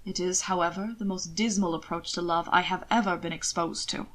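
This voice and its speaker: A woman voicing a teasing, amused aristocratic gentleman in dry, formal period dialogue. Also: lowish voice, fairly even intonation, brisk, pitched below the performer's own voice, natural voice. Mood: dry, probing. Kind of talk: fiction read aloud, character dialogue.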